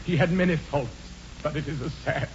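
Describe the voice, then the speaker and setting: An actor playing an earnest, slightly flustered English gentleman in a 1940s radio comedy, formal and clipped. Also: a little high, moderate pace, natural voice. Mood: mock mourning. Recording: dull, noisy 1940s radio broadcast.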